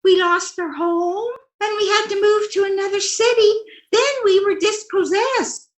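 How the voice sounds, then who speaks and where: A woman's voice: very high-pitched, unhurried. A woman playing a scatterbrained, innocently chatty wife in a re-created 1940s radio comedy. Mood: innocent chatter. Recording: roomy, echoey stage-like sound.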